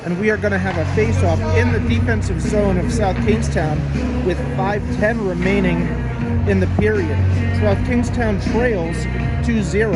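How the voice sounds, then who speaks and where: A hoarse man with a cold, calling hockey play-by-play in a brisk, matter-of-fact way. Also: high-pitched, rough recording. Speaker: play-by-play commentator.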